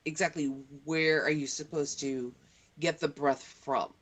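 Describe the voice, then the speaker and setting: A woman's voice: deep voice, fairly even intonation, unhurried. A woman hosting a casual podcast and stream, chiming in with short agreeable reactions. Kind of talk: casual chat.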